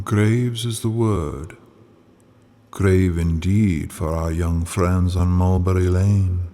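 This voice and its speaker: A man playing an ominous, theatrical narrator of an old-time radio mystery, speaking slowly and dramatically over music. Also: low-pitched, warm tone, heavily acted. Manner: slow, dramatic, suspenseful. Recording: music under the voice.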